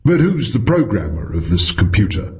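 A man's voice: lowish voice, sing-song, moderate pace, a little mellow. Casting: blunt, questioning male tradesman, done by a male reader, moody and out of his depth, audiobook fiction. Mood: puzzled. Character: puzzled, moody, plain-spoken male craftsman, out of his depth.

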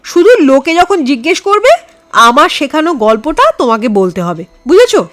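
A cocky, amused male visitor acted out by a woman in an audio horror story. The voice is high and very animated, with a breezy, patronising delivery. Soft music plays underneath. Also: moderate pace. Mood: confident, scheming.

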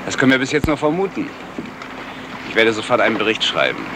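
An actor playing a self-assured, pedantic detective sergeant who lectures emphatically, in the style of a 1970s radio crime play. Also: male voice, lowish voice, big pitch swings, fast. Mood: confident. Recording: faint noise, outdoor boat ambience.

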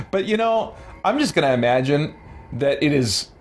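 A man's voice: fairly high pitch, big pitch swings, slow, faint music behind. A middle-aged man giving casual, amused gameplay commentary as a streamer in a room at home. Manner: chuckling, amused asides. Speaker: gaming streamer and roleplayer. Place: small room lined with shelves, indoors.

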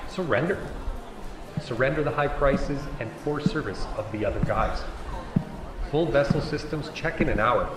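A man's voice: mid-range voice, lightly acted. A man playing a punchy futuristic advertisement announcer in an audio drama. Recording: echoey, distant, noisy public address.

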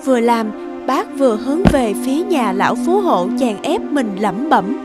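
A woman reading scripted narration for an animated folktale. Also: a little high, moderate pace. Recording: music behind the voice.

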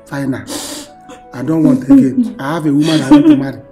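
An actor with a Nigerian accent playing a stubborn, reluctant suitor who insists and repeats himself in a film drama. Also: male voice, sits high, very animated, brisk, gravelly, over music, heavily acted. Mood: firm refusal.

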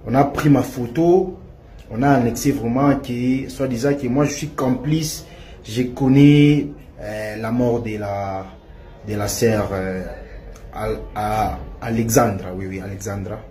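A young man with a Congolese French accent, giving a halting, repetitive video statement to clear his name. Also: mid-range voice, mellow. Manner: halting and repetitive, many restarts and fillers.

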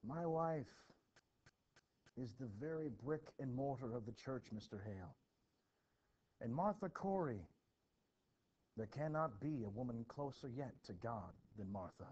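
A man voicing a distraught villager pleading urgently and loudly for his wife in a play reading. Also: medium pitch, lively intonation, measured pace, pauses often. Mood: indignant, pleading. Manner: urgent, loud, exclaiming. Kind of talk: expressive classroom play reading. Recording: dull, noisy home recording.

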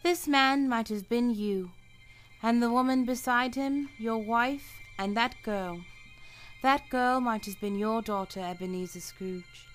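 A woman playing a solemn, unrelenting spirit in a radio play, speaking with deliberate command. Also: on the high side, soft background music, lightly acted. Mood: stern, relentless. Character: solemn, unrelenting female ghost of the past.

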